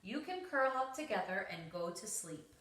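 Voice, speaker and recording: A woman's voice: medium pitch, big pitch swings, moderate pace, fairly bright tone. A woman voicing a caring, watchful mother mouse in a storybook, with a reassuring, motherly manner. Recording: roomy, echoing home recording.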